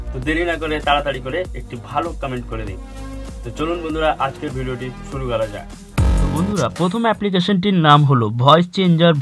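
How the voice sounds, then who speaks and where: A man hosting a tech channel, narrating a tutorial and addressing viewers directly as friends in an instructional way. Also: a little high. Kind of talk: tutorial narration for an online video. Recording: music bed under the voice. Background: background music.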